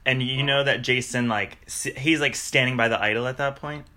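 A man hosting a podcast, asking relaxed, curious questions with casual fillers. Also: medium pitch, fairly quick. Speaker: podcast host. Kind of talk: casual interview.

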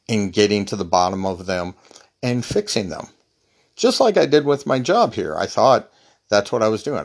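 A man hosting his own podcast, talking alone in a rambling, wry, self-deprecating way. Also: lowish voice. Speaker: podcast host. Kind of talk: casual solo monologue.

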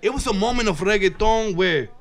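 A man's voice: sits high, brisk. A man from Newark, New Jersey, guesting on a podcast as a mixtape DJ, talking in emphatic street slang with plenty of cursing. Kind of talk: casual podcast interview. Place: podcast studio.

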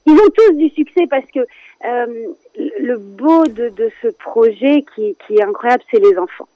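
A French woman with a French accent, a children's singer chatting as a radio guest, lively and quick to laugh. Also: on the high side, no echo, dull audio. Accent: French with Alsatian touch. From France. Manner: animated, laughs often, many fillers. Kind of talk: casual radio interview chat.

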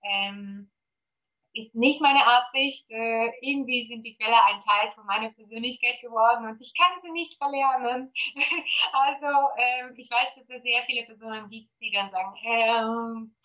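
A Polish-accented woman comes across bright and forceful, her voice sitting a bit high. She talks eagerly as a podcast guest and keeps filling pauses with hesitations. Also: rough recording. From Poland. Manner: eager, many fillers, promotional. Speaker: guest, gut health coach. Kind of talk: podcast interview.

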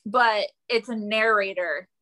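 A woman's voice: medium pitch, a little slow, sharp tone. A woman guest on a podcast, chatting in an enthusiastic, informal way. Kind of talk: casual chat.

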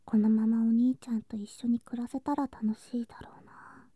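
A woman playing an affectionate, clingy younger girl cousin in an intimate bedtime ASMR drama, speaking softly and breathily, often in whispers, drowsy and coaxing. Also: on the high side, fairly even intonation, brisk, slightly rough, no echo. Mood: tender whispering. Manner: soft, drowsy, coaxing, sweetly childlike.

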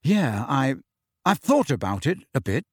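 A man's voice: mid-range voice, very animated, a little slow, slightly rough, no room sound. Male narrator's take on a sulky, wary teenage wizard boy, dry close sound. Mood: grumpy. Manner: grumpy, reluctant.

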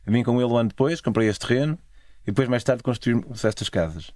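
A man's voice: medium pitch, fast, a little mellow, no room sound, studio quality, close to an everyday voice. A man voicing an obliging, chatty older Italian homeowner within a told story. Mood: easygoing, chatty.

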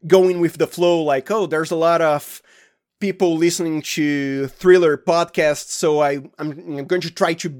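A man's voice: fairly high pitch, steady tone, unhurried, darkish tone, clear, resonant tone. A man with a Brazilian accent, speaking casually as an interview guest in a hesitant, stop-start, giggly way. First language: Portuguese. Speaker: podcast guest, sound designer.

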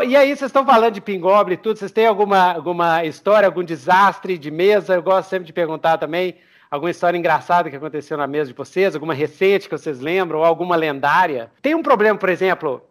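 A Brazilian man chatting casually as a podcast host, telling stories in an animated way. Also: sits high, quick pace, few pauses. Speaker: podcast host, game master.

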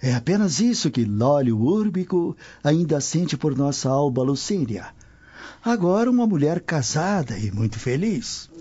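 Aging Roman nobleman, Brazilian accent, soap opera, mellow husky voice, lively intonation, formal, self-assured, dull recording. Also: on the high side, unhurried, full character voice. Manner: formal, articulate, declamatory. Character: aging aristocratic Roman husband, self-assured, admiring of power. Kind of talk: radio soap opera acting. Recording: dull, band-limited audio.

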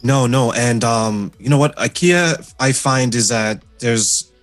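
A man hosts a podcast over a video call, his voice at a middle pitch. He talks in a relaxed, conversational way and chuckles often, with faint music in the background. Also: takes it slowly. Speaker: podcast host. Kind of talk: casual interview chat.

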